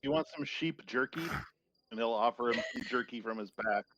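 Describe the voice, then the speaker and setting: A man playing a tabletop game, casually roleplaying and describing his character's actions in a halting way. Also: medium pitch, a bit bright.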